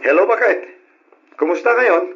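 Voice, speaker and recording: A family-minded husband working abroad in an old radio serial. He speaks with an Ilocano accent in a slightly high, rough-edged voice. The sound is dull and lo-fi. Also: animated, moderate pace, a bit bright, faint music behind, full character voice. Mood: businesslike. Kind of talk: old-style Ilocano radio drama acting.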